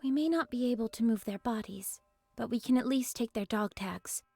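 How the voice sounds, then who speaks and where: A woman playing a poised, reserved young squad soldier in a video game, speaking in a measured, polite, probing way. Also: on the high side, creaky, mellow, dry recording, soft background music. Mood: quietly puzzled. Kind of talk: dramatic video game voice acting.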